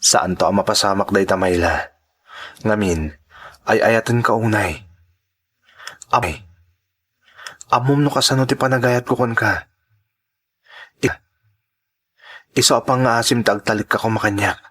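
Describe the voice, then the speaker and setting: A man's voice: fairly low pitch, unhurried, long pauses, fairly bright tone, breathy edge. A man with an Ilocano accent playing an earnest, devoted young son from a poor family in a radio drama. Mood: tender, pleading.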